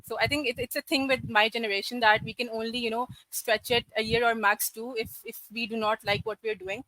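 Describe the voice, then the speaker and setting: Bright, crisp young woman from Karachi. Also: fairly high pitch, brisk, no room sound, rough recording. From Karachi, Pakistan. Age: 20s. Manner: articulate, steady, matter-of-fact storytelling. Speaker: guest. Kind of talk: podcast interview.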